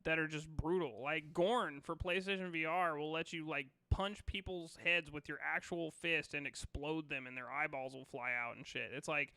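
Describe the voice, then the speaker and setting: A man's voice: on the high side, steady tone, moderate pace, hardly any pauses, sharp tone, smooth, no echo. A man co-hosting a podcast, chatting casually and making his points emphatically with plenty of swearing. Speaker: podcast co-host. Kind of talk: casual opinionated chat.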